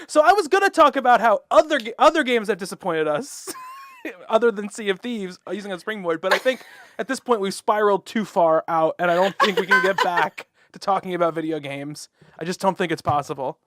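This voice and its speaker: A man's voice: sits high, expressive, a little fast, noisy background. A man hosting a video-call podcast, chatty and laughing, stalling with fillers as he steers the talk. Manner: laughing, trails into filler words. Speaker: podcast host. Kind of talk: casual chat. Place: home room, video call.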